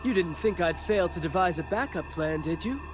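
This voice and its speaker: A youthful god of war and wind for a fantasy game. His voice is high for a male, and he speaks in grand, poetic, formal proclamations. Prominent music plays behind him. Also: animated, brisk. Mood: earnest, explaining. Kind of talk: video game English dub acting. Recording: voice over background music.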